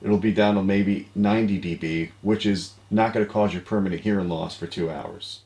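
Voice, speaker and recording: A middle-aged American man with a low-pitched voice hosts an online show. His delivery is casual and conversational, like an informal chat with a colleague. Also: moderate pace, a little mellow. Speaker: show host. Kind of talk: casual video show hosting. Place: home office.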